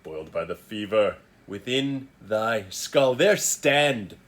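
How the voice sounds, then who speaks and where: A man playing a powerful, wronged magician in a Shakespearean soliloquy, declaimed in a solemn, commanding, deliberate manner. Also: fairly low pitch, lively intonation, a bit bright. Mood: solemn, stern.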